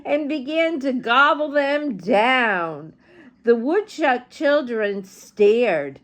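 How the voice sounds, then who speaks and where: A woman storyteller reading a children's storybook aloud in an animated way, with chatty asides to young listeners. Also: fairly high pitch, very slow.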